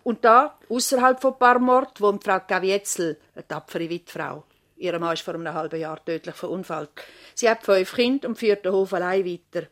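An actress with a Swiss German accent playing an efficient, organised doctor's assistant, brisk and instructive, in a seventies radio play. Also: female voice, mid-range voice, a bit bright, lightly acted. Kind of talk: 1970s radio play acting.

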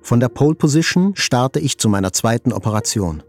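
An actor playing a wounded journalist, narrating his hospital recovery in a measured, reflective literary style. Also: mid-range voice, expressive, soft background music, natural voice. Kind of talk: scripted literary narration, radio play.

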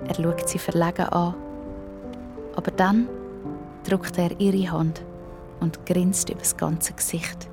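A woman's voice: on the low side, level tone, slow pace, pauses often, over music. A woman with a Swiss accent narrating a scripted children's story.

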